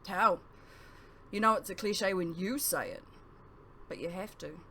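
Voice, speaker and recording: A woman reading a first-person novel aloud as scripted narration, with emphatic phrasing and dramatic pauses. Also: on the low side, sharp tone, a little hiss or hum.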